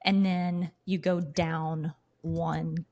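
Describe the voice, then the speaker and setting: A woman's voice: low voice, level tone, drawn-out words, a little mellow. A woman co-hosting a casual podcast, explaining things step by step in a methodical way. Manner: explanatory, walking through steps methodically. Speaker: podcast co-host.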